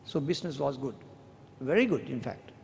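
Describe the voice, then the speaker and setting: A man who is a surgeon with a Sri Lankan English accent gives a formal academic address, speaking in a measured, deliberate way with slow, emphatic stretches. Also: medium pitch, big pitch swings, gravelly, a little hiss or hum. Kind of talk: formal prepared speech, reading a long quotation. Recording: long hall reverb.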